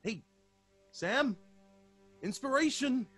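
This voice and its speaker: Excitable, slightly husky radio fellow. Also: male voice, very high voice, slow pace, soft background music, heavily acted. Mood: breezy, puzzled. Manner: breezy, slangy, exclaiming. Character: excitable male pal growing alarmed, breezy 1930s fellow. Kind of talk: old time radio acting.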